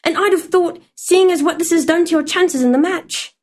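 A woman voicing a sharp, disapproving know-it-all schoolgirl, reproachful in tone. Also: extremely high pitch, moderate pace.

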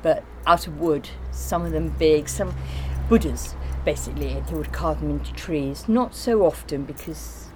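A woman's voice: deep voice, moderate pace, hardly any pauses, noisy background. A woman in informal conversation, explaining and recollecting in a rambling way. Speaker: artist being interviewed.

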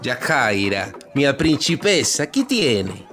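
An actor playing a sly, smooth-talking Italian seducer in a radio soap, wheedling with an Italian accent and mixing Italian into Spanish. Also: male voice, mid-range voice, very animated, slow, a bit bright. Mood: wheedling, romantic. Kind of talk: radionovela acting.

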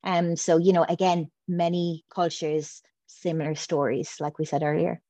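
A woman's voice: low voice, flat delivery, normal speed, mellow, dry recording. A woman speaking as a guest, talking through myth in a hesitant way with many fillers and restarts. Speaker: guest, priestess. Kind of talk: casual podcast chat.

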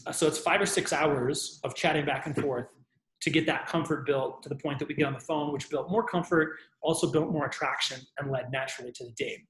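A man's voice: a little high, brisk, a bit bright, echoey room, rough recording. A man coaching on dating, walking through his text messages in a casual teaching tone. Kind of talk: talking-head advice video.

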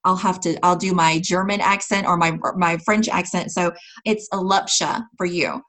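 A woman's voice: on the low side, fairly even intonation, normal speed, fairly bright tone. A woman hosting a podcast, speaking in an effusive, encouraging way in a conversational interview style. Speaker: podcast host.

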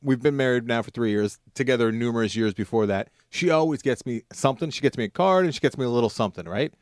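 Brief: a wisecracking man co-host who talks fast and sits mid-range in pitch, on a dry mic. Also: no echo. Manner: joking, wisecracking. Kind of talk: casual radio talk.

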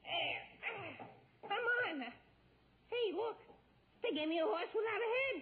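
A whiny nervous teen boy, really high voice, droll wisecracks, tinny old radio show. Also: animated, takes it slowly, heavily acted. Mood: droll, wary. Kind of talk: 1940s radio comedy acting. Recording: muffled vintage 1940s radio recording.

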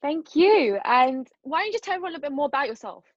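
A woman's voice: high voice, big pitch swings, fast talker, no room sound, dull audio. A woman hosting a podcast, asking a guest questions in a friendly, encouraging way. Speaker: podcast host. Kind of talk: casual interview questions.

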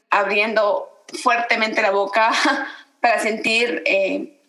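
A woman from Guatemala, introducing herself on a podcast in a reflective way, pausing often. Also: a little high, a bit bright, echoey room, poor audio. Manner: reflective, many pauses and fillers. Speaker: podcast guest. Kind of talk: casual self-introduction.

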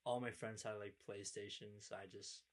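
A young man around twenty-one with a low voice, hosting a loose conversation. He asks his friends questions and teases them lightly. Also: steady tone, normal speed. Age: 20s. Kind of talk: casual interview chat.

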